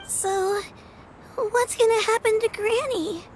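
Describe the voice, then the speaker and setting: A devoted young girl in a video game, her voice pitched very high. She pleads through tears, words tumbling out with emotion. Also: slow, a little hiss or hum. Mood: pleading. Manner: pleading, tearful.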